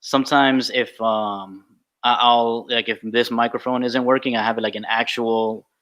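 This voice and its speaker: A man speaks as a co-host in relaxed, informal conversation. His pitch is average, and he explains things haltingly, often repeating a word before going on. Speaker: podcast co-host. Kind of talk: casual podcast chat. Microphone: plug-in external mic on a laptop.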